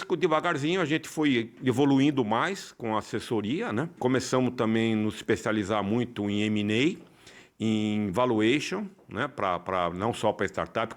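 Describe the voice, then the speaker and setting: Brazilian guy with a lowish voice, podcast guest explaining stuff in a conversational way. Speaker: podcast guest, financial consultant. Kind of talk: conversational interview talk.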